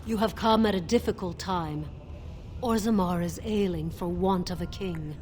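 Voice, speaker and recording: An actress playing a grave, formal noble in a fantasy video game. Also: female voice, fairly low pitch, normal speed, darkish tone, slight background noise, lightly acted.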